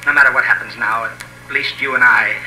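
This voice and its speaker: A man's voice: mid-range voice, fairly even intonation, fairly quick, bright, forceful tone. An actor playing a cocky, scheming, slangy tough-guy adventurer in 1950s radio drama style. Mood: eager, scheming. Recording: echoey, noisy old radio recording.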